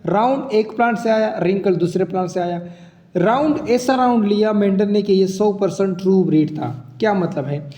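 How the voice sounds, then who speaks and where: A man's voice: high-pitched, moderate pace, warm tone. A man teaching a biology lesson with a sore throat and a cough, explaining step by step and asking himself questions as he goes. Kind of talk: classroom lecture. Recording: echoey room with long reverb.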